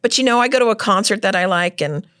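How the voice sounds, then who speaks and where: Loud, animated middle-aged woman guest. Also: medium pitch, fast talker, clean tone. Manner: loud, animated, laughs often. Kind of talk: casual interview chat.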